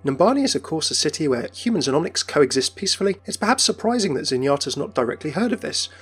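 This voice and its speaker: Man, video narrator, brisk pace, scripted, explanatory, medium pitch, light background music. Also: very animated, a bit bright, husky. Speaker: video presenter.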